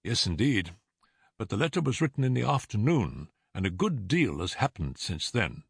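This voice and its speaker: A man voices a big, hearty storybook client, once a soldier. He speaks bluntly and earnestly in an agitated, swooping way, with a rough edge to the voice and a dry studio sound. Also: fairly low pitch, big pitch swings, normal speed, dry recording, full character voice. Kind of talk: audiobook character dialogue.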